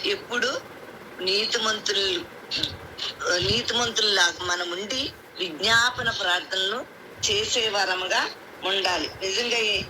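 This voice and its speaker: A woman gives a devotional sermon, exhorting listeners in repeated phrases and reading out scripture. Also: mid-range voice. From India. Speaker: prayer group speaker. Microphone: phone on conference call. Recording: noisy conference call, vehicle sounds behind.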